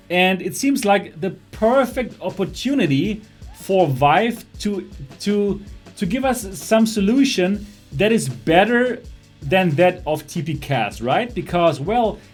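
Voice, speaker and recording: A young man from Germany with a German accent, hosting a casual livestream about VR tech, chatty and laughing often as he reads chat. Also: high voice. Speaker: host of a VR livestream show.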